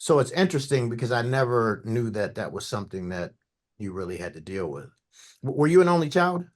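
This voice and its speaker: A man's voice: medium pitch, sing-song, a little fast. A man hosting a conversation podcast, asking questions and sharing reflections with frequent false starts. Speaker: podcast host. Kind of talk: casual interview.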